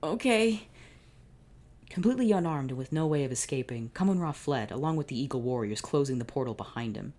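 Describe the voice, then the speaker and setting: A woman reading fanfiction aloud in a deadpan narration, breaking off for exasperated sarcastic asides. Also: very deep voice, brisk. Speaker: fanfiction reader.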